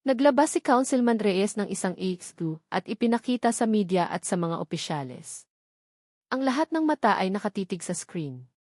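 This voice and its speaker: A woman's voice: medium pitch, a little mellow, no echo. Woman narrating a scripted drama, dry sound. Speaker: story narrator.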